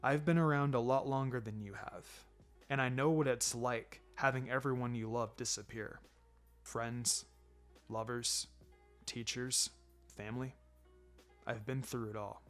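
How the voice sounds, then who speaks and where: A man acts out a laid-back ninja mentor from an audiobook story. His voice sits low and soft, measured and wry, with a gently sombre, consoling edge. Music plays clearly behind him. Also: fairly even intonation, close to an everyday voice. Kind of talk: fan fiction audiobook character acting.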